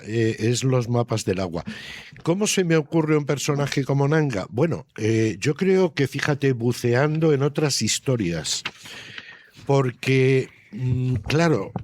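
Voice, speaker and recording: Slow-paced older man. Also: medium pitch, no echo. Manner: thoughtful, didactic, often seeks agreement with tag questions. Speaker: writer being interviewed. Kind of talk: unscripted interview answers.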